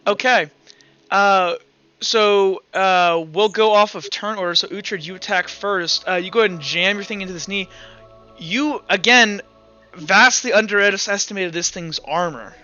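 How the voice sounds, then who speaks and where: A man's voice: sits high, measured pace, bright, forceful tone, highs cut off, faint music behind. A man acting as game master of a tabletop roleplaying session, casually thinking aloud as he counts out results and narrates. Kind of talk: running a tabletop roleplaying game, improvised rules talk and narration.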